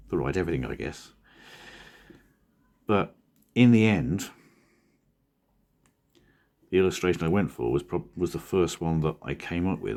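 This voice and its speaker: Very deep hoarse man with a croaky voice. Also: a little mellow. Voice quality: croaky, husky. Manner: rambling, self-correcting, drowsy. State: hoarse from a cold. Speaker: cartoonist vlogger. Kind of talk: casual studio vlog talk.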